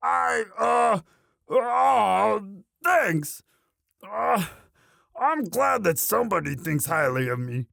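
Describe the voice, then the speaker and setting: Man playing a bashful, halting orc boyfriend, lively pitch. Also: creaky, no echo, clean studio sound, heavily acted. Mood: bashful, sincere. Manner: halting, sheepish, stammering with many uhs. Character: bashful, devoted himbo orc boyfriend, humble muscle. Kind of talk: romantic roleplay audio monologue.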